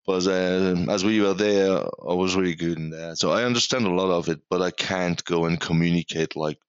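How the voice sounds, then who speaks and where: A man in his forties from Iran with a Persian accent, talking casually and haltingly as a podcast guest, often repeating words. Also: sits low, clean tone, no room sound, dull audio. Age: 40s. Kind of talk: casual conversational interview.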